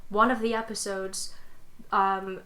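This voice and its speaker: A woman's voice: mid-range voice, little pitch movement, takes it slowly, a crowd in the background, rough recording. A young adult woman co-hosting a podcast, chatting casually and informally. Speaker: podcast co-host. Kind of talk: casual chat.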